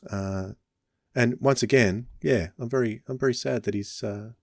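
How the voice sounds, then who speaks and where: A man's voice: medium pitch, moderate pace, creaky, no room sound. A man hosting a casual podcast discussion in a conversational way on a close microphone. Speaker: podcast host.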